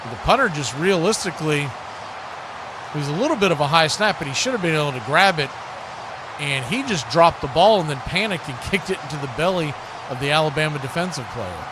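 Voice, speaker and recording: A man's voice: a little high, expressive, brisk, background noise. A man giving live play-by-play commentary on a football game.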